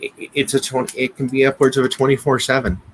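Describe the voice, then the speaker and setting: A man with an American accent in a casual business discussion, speaking haltingly, often repeating words and restarting. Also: mid-range voice, flat delivery, poor audio. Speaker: business podcast co-host. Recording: noticeable background noise.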